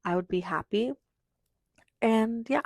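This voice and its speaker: A teenage girl hosting her own podcast, chatting in a rambling, confessional way with lots of fillers, her voice hoarse from losing it. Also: a little high, takes it slowly, no room sound, studio quality. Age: teenager in high school. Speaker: podcast host. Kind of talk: casual confessional monologue.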